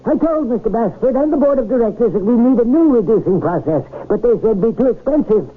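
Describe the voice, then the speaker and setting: An actor playing an earnest, alarmed former research chief giving insistent, ominous warnings in an old-time radio drama. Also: male voice, extremely high pitch, expressive, fast talker. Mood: agitated, insistent. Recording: muffled old radio broadcast recording.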